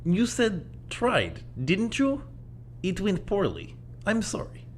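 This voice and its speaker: A woman in an audio play acting an enigmatic, philosophical confidant, speaking in a deliberate, cryptic and theatrical manner. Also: mid-range voice, huge pitch swings, a little hiss or hum. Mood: wry, musing.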